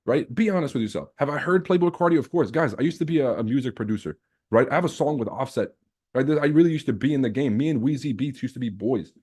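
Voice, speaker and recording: A man's voice: mid-range voice, lively intonation, fast, darkish tone, breathy edge. A man from Lebanon, a native Arabic and French speaker, hosting a livestream in casual, slangy, boastful chat peppered with swearing. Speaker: trading livestream host. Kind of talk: casual chat with live viewers.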